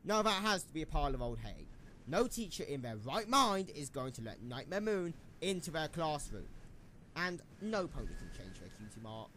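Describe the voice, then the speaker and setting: A man as young pegasus photographer, skeptical, questioning, slightly high voice, fan radio play, faint noise. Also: very animated, normal speed, bright, forceful tone. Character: Quicksnap, doubtful young pegasus news photographer. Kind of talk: amateur audio drama dialogue.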